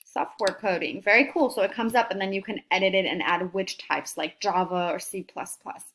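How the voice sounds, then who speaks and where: A woman's voice: medium pitch, brisk, a bit bright. A woman career coach giving an upbeat, encouraging screen-recorded tutorial and talking students through each step. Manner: upbeat, encouraging, talks while clicking through steps.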